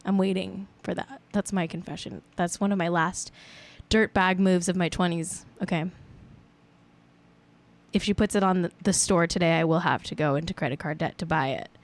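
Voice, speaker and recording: A woman's voice: fairly low pitch, steady tone, moderate pace. Young woman host, rambling livestream chat, playful, self-mocking. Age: 20s. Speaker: show host.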